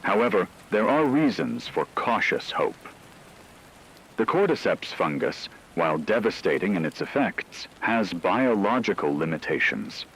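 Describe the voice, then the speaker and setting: Male actor as radio drama news anchor: very low pitch, measured and factual, grave, faintly hissy broadcast. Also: sing-song, fairly bright tone, exaggerated voice, poor audio. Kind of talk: scripted emergency news report.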